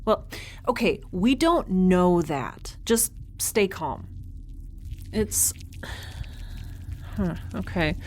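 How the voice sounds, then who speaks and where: Flustered, slow-spoken improvised woman medic. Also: lowish voice, expressive, a little mellow, a little hiss or hum. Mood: tense, focused. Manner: hesitant, halting, takes charge. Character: young woman improvising as field medic, flustered but caring. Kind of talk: naturalistic audio drama acting.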